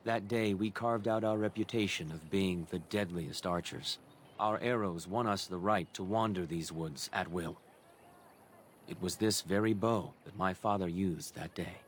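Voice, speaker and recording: Low-voiced, solemn elven craftsman. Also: a little hiss or hum. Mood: proud. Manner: measured, solemn, formal storytelling. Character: proud, kindly elven master craftsman of a woodland clan. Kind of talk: fantasy game voice acting. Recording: loud music behind the voice. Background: game music.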